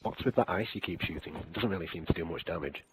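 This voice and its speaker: A man playing a battle-hardened alien soldier in a sci-fi game audio drama, gruff, terse and wry, with a raspy voice. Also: deep voice, fast talker, noisy background, lightly acted. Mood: skeptical, dry. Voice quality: gravelly, raspy. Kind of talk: fan audio drama, game-story character acting.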